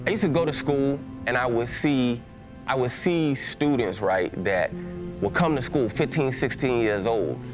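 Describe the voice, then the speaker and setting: A man's voice: mid-range voice, animated, unhurried, over music, rough recording. A man from Texas with a Southern accent, a pastor giving a conversational personal testimony to a church, with frequent restarts and filler words.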